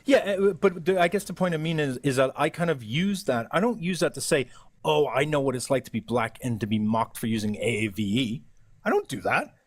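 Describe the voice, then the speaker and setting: An Irish man in a casual live-stream chat, earnest and argumentative, explaining his view at length. His voice sits in an ordinary middle pitch. The sound is dry and close, with no room echo. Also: animated, fairly quick. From Ireland. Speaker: livestream host.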